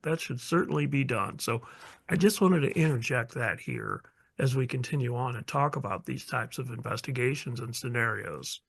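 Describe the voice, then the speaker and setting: A male podcast host guiding an interview in a measured way, often repeating his words as he gently prompts his guest. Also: mid-range voice, creaky, slightly rough. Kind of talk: interview hosting.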